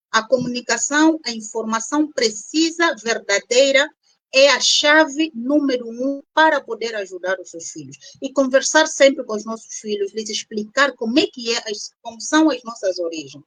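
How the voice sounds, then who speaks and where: A woman's voice: on the high side, expressive, normal speed, a bit bright, dry recording, low-quality recording. An Angolan woman with an Angolan Portuguese accent, a doctor guest explaining points one by one in a didactic way. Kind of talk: explanatory interview talk.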